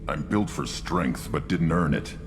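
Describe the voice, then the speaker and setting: A male game actor playing a young, hulking alien warrior who speaks in blunt, brooding phrases with a gravelly voice. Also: very deep voice, measured pace, noisy background. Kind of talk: video game voice acting.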